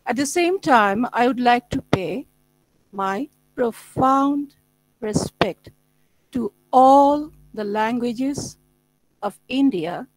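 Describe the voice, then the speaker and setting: A middle-aged woman, a Bangla speaker, giving slow, effusive, formal thanks on a video call with a mains hum. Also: a little high, expressive, no echo, rough recording. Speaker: guest speaker.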